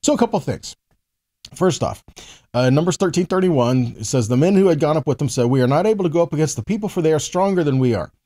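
A man's voice: a little high, lively intonation, quick pace, warm tone. A man in his forties giving a conversational, emphatic Bible teaching, reading verses and posing rhetorical questions. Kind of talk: teaching sermon to camera with scripture readings.

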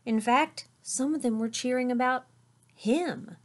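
A middle-aged woman reading a children's story aloud in an expressive narrating style. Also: high-pitched, a little slow. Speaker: storybook reader. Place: small indoor room with curtain backdrop.

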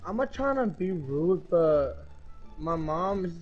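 Young man, high voice, rambling prank caller, muffled sound, faint music. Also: lively intonation, takes it slowly. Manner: rambling, profane, bursts into shouting. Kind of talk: casual prank call chat.

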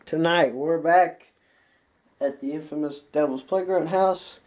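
Young man, congested, casual, excitable, fairly high voice, ghost-hunting vlog, handheld camera, abandoned house. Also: unhurried. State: congested after flu. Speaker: paranormal investigator host. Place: abandoned house at night, indoors.

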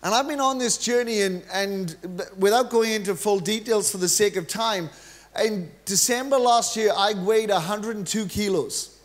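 A middle-aged Welsh man preaching a lively, joking sermon from a large church stage into a handheld mic. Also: high-pitched, moderate pace. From Wales. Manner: energetic, joking preacher, gestures freely while pacing the stage. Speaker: guest preacher.

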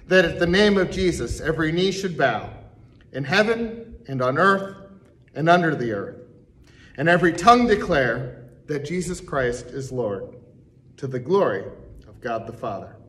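A middle-aged man, a pastor, reading scripture aloud in a church sanctuary, slowly and deliberately with emphatic pauses. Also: a little high, lively intonation, fairly bright tone.

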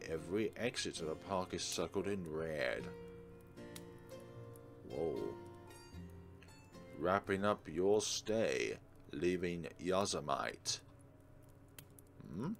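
Low-voiced man reading a game aloud. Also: lively intonation, takes it slowly, vocal fry, long pauses. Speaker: let's play host. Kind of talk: reading a visual novel aloud with casual commentary. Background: soft game music.

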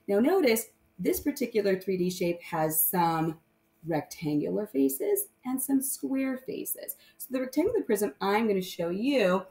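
A woman's voice: mid-range voice, vocal fry, darkish tone. A woman teacher explaining things informally to young children, often checking in with short tag questions. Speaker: primary math teacher.

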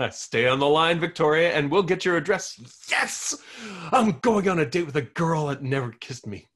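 A man's voice: fairly high pitch, animated, normal speed, fairly bright tone. A man playing an eager, hopelessly romantic forty-five-year-old radio host in a comedy table read. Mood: eager, hopeful. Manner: eager, pleading.

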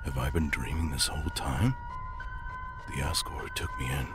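A man playing a melancholy, lonely exiled skeleton scientist in a comic dub, formal and brooding, with a breathy, near-whispered voice. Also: very deep voice. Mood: troubled realization. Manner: brooding, formal, slow musing. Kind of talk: dramatic comic-dub monologue. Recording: loud music under the voice. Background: loud music.

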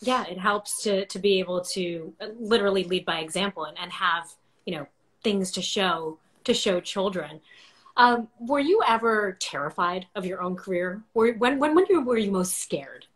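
A woman's voice: medium pitch, expressive, normal speed. A woman in her forties hosting a conversational interview, reflecting and summing up as she goes. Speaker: interview host. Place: indoor home room with bookshelves, video call.

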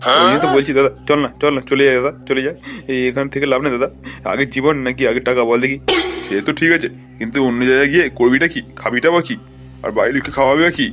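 A man as a fast-talking, nervy cartoon thug. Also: medium pitch, close to an everyday voice. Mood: anxious, then persuading. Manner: colloquial, excitable, stammers when frightened. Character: nervy small-time hired thug, one of three brothers.

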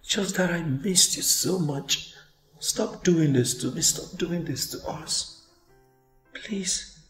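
Guy playing a sorry boyfriend begging forgiveness, kinda high, slow, echoey room. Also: a bit bright. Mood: pleading. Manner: pleading, apologetic. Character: remorseful man begging his partner's forgiveness. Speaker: actor in a relationship skit. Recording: echoey room with faint music.

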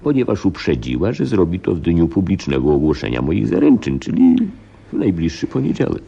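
A man's voice: deep voice, sing-song, fairly quick, gravelly, dark tone, husky, highs cut off, heavily acted. An actor playing an anxious, proud king with a formal, stately manner in a radio play. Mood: tense, formal. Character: anxious, proud middle-aged European king fearing scandal.

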